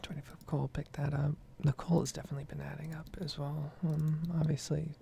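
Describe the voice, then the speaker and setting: A woman speaking in a breathy whisper, rambling casually with some swearing in an ASMR gaming video. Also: deep voice, flat delivery, brisk, mellow, a little hiss or hum.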